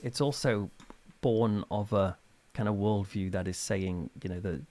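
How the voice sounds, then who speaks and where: Low-voiced British man, chatty storyteller. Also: measured pace. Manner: chatty, informal, animated storytelling. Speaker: interview guest, author and reverend. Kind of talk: casual anecdotal interview chat.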